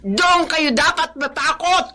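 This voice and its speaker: A woman's voice: high voice, animated, unhurried, sharp tone, full character voice. An actress playing a domineering, scheming middle-aged stepmother with a Filipino accent in a radio drama, imperious and falsely sweet. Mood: imperious, menacing. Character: scheming, domineering villainous stepmother and lady of the house.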